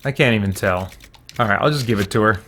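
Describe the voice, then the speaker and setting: A man's voice: on the low side, fast talker, background noise. A man doing playful let's play commentary, roleplaying his game character in a wry, theatrically impatient way. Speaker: gamer roleplaying through a video game.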